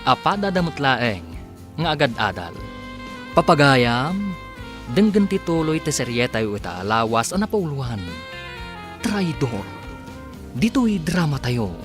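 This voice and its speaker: A man's voice: medium pitch, very animated, measured pace, long pauses. A man announcing a radio drama series in a dramatic style, introducing the episode. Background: loud music under the voice.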